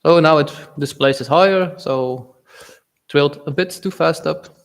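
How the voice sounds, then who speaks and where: A young man from Belgium, speaking natively, giving casual, halting live-stream commentary on his trades and answering chat. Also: fairly high pitch, gravelly. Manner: casual, halting, reads chat aloud with fillers.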